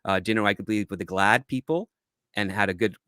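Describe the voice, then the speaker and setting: A man's voice: on the low side, a little fast, sharp tone, clear, resonant tone, no room sound, studio quality. A man giving casual, opinionated commentary as a panelist in a discussion.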